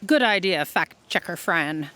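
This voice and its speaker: A woman's voice: on the low side, lively intonation, a little slow, sharp tone. A woman playing an imperious, impatient boss in a comic sci-fi audio drama, bossy, curt and dismissive. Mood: brisk authority. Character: imperious, impatient female editorial boss of destiny bureau.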